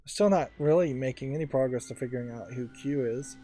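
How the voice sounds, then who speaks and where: A man with a dark, mellow voice at a middle pitch commentates casually on a game. He thinks aloud in an analytical way, pausing as he goes, with faint music behind him. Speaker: let's play host.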